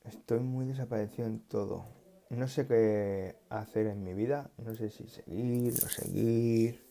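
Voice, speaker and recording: A man chatting casually about tech news, halting often and correcting himself as he goes. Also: lowish voice, fairly even intonation, creaky, mellow. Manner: halting, restarts and self-corrections, musing. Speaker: tech vlogger.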